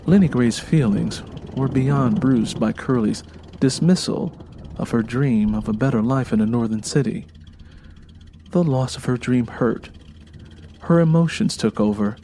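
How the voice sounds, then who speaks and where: A man narrating a scripted audio drama in a measured, dramatic storytelling manner. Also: mid-range voice, dark tone, a little hiss or hum. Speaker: narrator and writer.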